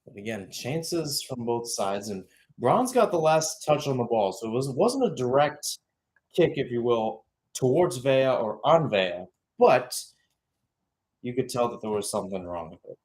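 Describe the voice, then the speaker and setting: A man's voice: mid-range voice, animated, a little slow, a little mellow, slightly rough. A young man giving live radio-style soccer play-by-play, drifting into conversational, rambling asides and correcting himself as he goes. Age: young adult. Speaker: play-by-play commentator.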